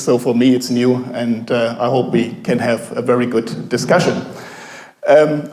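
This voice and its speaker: German accented professor chatting to a cafe crowd, lots of ums, mellow tone, echoey room. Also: male voice, medium pitch. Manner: halting, many fillers, engages audience. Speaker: guest speaker, professor. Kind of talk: informal public talk. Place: café venue with an audience.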